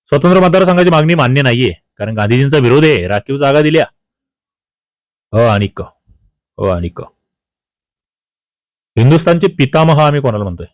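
A man with a Maharashtrian accent delivers an exam coaching lesson in a mid-pitched voice. He poses questions to his students and repeats key points emphatically, slipping into colloquial asides. The sound is dry, with very little room echo. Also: expressive, normal speed, pauses often. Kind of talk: quiz-style history lesson, talking to students.

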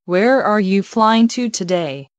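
A woman reading English travel phrases one by one, slowly and carefully, for learners to repeat. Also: medium pitch, expressive, no echo, muffled recording. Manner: careful, evenly paced, each phrase read alone. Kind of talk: slow clear phrase repetition for learners.

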